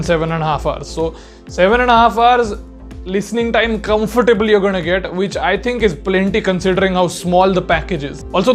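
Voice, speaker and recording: A young man giving a casual, explanatory product review in an informal way. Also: sits high. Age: young adult. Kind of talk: casual spoken review to camera.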